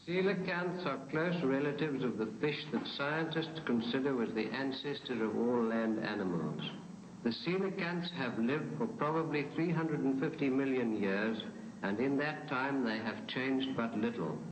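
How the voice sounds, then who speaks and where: A man's voice: medium pitch, normal speed. A man delivering scripted science narration as a documentary narrator. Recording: echoey room, clearly noisy background.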